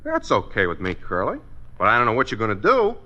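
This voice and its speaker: An actor playing a cheeky, dim-witted sidekick in a 1950s radio comedy, breezy, mock-innocent and exaggerated. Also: male voice, fairly low pitch, huge pitch swings, quick pace, pushed and bright, dull audio, heavily acted. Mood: cheerfully needling. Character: cheeky, dim-witted sidekick who needles his boss. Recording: noisy old radio comedy recording.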